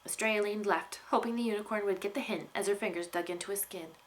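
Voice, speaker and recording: A woman narrating a young adult fantasy story as an author reading aloud, with expressive delivery. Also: medium pitch, fast talker, slight background noise. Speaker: author reading from own novel.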